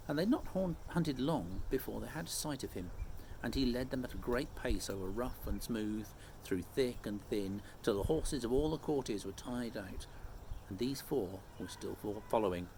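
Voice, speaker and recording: A man reading a children's story aloud expressively, phrase by measured phrase. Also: medium pitch, a little mellow, rough recording. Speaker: storybook reader. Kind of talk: expressive scripted story narration. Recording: faint background noise.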